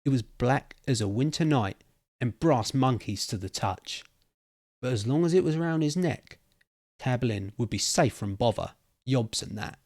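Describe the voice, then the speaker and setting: A man's voice: medium pitch, expressive, normal speed, a little mellow, no room sound, studio quality, natural voice. A man voicing an opinionated old cockney pub storyteller, theatrical and emphatic, thick with slang. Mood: knowing.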